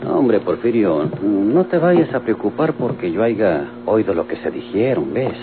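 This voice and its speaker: Swooping low Mexican jailbird. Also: male voice, big pitch swings, unhurried. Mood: reassuring. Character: folksy, scheming prison cellmate, rough accomplice. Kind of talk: old-time Mexican radio drama acting. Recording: old tinny radio recording, noisy hum.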